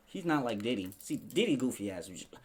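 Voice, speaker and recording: A man's voice: mid-range voice, big pitch swings, brisk. A man hosting a casual commentary show, talking in a slangy, sarcastic, profane way as he rants and mimics people. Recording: clearly noisy background.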